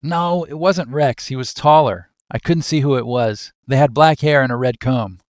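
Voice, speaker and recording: A man's voice: medium pitch, moderate pace, no echo, studio quality, natural voice. A man playing a fussy, squeamish office worker, indignant and melodramatic in manner. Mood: disgusted, aggrieved.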